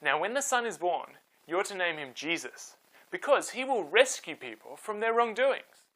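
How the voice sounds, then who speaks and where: Man voicing an animated, high-pitched proclaiming angel. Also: moderate pace, full character voice, poor audio. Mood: reassuring, solemn. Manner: proclaiming, emphatic. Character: excited angel proclaiming good news.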